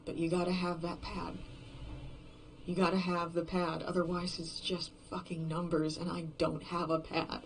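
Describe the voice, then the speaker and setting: A performer voicing a despairing, obsessive lone survivor in a horror fiction podcast, brooding and halting in a confessional monologue, often dropping toward a whisper. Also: mid-range voice, little pitch movement, slight background noise, lightly acted, low-quality recording. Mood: anxious brooding.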